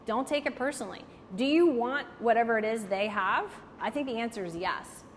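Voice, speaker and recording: Animated woman speaker in a reverberant hall. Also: on the high side, a little fast. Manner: candid, joking, animated, frequent "like". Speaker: networking speaker. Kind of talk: lively talk to an audience, taking questions. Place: room with a live audience. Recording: hall reverb, faint background noise.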